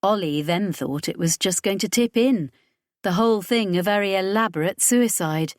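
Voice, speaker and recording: A woman's voice: mid-range voice, moderate pace, no echo, clean studio sound. A woman reading scripted narration from a science fiction audiobook.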